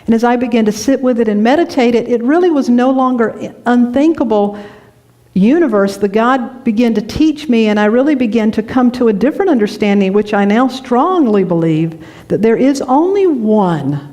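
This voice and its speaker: A woman from North Carolina, a minister giving a lively, conversational sermon in a church, laughing often as she tells stories. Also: a little high, moderate pace. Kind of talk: sermon with storytelling. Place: church sanctuary.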